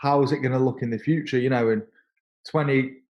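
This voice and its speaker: Halting man in his thirties. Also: mid-range voice, fairly even intonation, darkish tone, lo-fi sound. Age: 30s. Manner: halting, repeats words and restarts often. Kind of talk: casual interview conversation.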